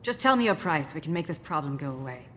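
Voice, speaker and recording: Low-voiced, bitter woman crime boss. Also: big pitch swings, quick pace, a bit bright, a little hiss or hum. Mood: tense bargaining. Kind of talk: video game voice acting.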